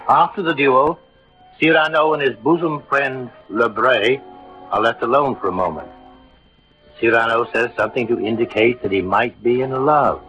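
A man narrates a radio drama from a script in 1940s style. His voice sits at a medium pitch, on a dull old recording with faint music underneath. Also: normal speed, fairly bright tone.

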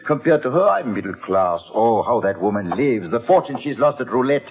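A man's voice: mid-range voice, very animated, a little fast. A man acting in a 1940s radio drama as an aging, flamboyant, romantic composer with an effusive, gallant manner. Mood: rapturous. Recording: dull vintage radio recording, faint music.

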